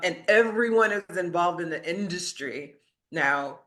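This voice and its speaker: A woman's voice: lowish voice, expressive, a little slow, sharp tone. A woman talking as a podcast guest, conversational, stressing and rephrasing her points. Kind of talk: conversational discussion.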